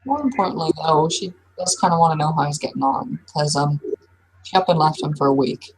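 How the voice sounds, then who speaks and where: A woman running and playing a casual, improvised tabletop role-playing game, talking in a halting, informal way. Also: deep voice, darkish tone, a little hiss or hum, low-quality recording. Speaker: game master and player.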